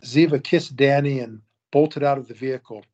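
A man narrates an audio drama in a steady, measured voice of middle pitch. The recording is slightly dull and muffled.

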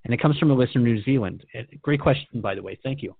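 Male host for scripted radio dialogue: inquisitive, conversational, mid-pitched, dry, tinny phone-quality recording. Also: very fast, vocal fry, slightly rough, dry recording.

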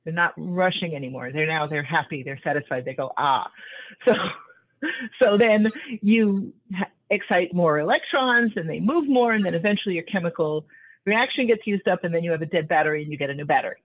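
A woman's voice: lowish voice, expressive, a little fast. A woman in her sixties explains her ideas as a guest, building each point patiently with small restarts. Her voice comes through a narrow, tinny call line. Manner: thoughtful, explanatory, with frequent restarts. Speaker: author guest. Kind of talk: conversational interview.

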